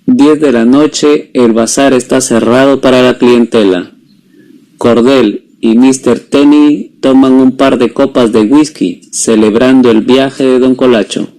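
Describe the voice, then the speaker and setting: A man's voice: mid-range voice, steady tone, a little slow, mellow, low-quality recording. A young man, a student presenter, reading a scripted story summary as narrator. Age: young adult.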